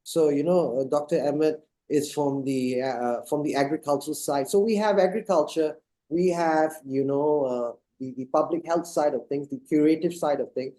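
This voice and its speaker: A man from Singapore leads an online discussion over a video call. His voice sits a little high and has a dark, mellow tone. He talks in a halting way, full of fillers and restarts. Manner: hesitant, many fillers and restarts. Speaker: moderator of online summit. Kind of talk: casual panel discussion.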